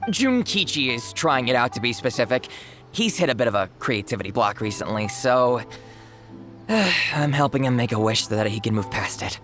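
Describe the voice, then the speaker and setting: A man's voice: medium pitch, big pitch swings, moderate pace, a bit bright. A man voicing a weary, skeptical editor in a video game, dry and exasperated. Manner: exasperated, sighing. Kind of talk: game voice acting. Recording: game audio with faint music.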